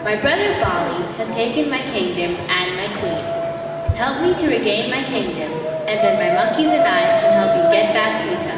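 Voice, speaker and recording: A female performer playing an exiled, aggrieved monkey king in a school stage play, formal and declamatory. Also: high-pitched. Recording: noisy echoey gym, far from mic.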